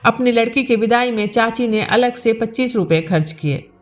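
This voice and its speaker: High-voiced woman narrating a story over music. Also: moderate pace. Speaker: storyteller reading a novel aloud. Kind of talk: scripted literary narration. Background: background music.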